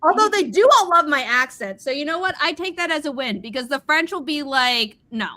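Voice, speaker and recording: A woman with a bright, fairly high voice chats in a casual, anecdotal way. She is animated and slips into acting out what people said. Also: a little fast. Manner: animated, acts out quoted dialogue. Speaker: livestream host. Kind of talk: casual chat, personal anecdotes.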